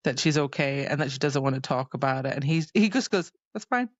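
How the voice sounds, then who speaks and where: A woman's voice: very deep voice, lively intonation, brisk, dry recording. A woman co-hosting a podcast, chatting informally about books with wry asides, on a slightly dull microphone. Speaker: podcast co-host. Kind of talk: casual book discussion chat.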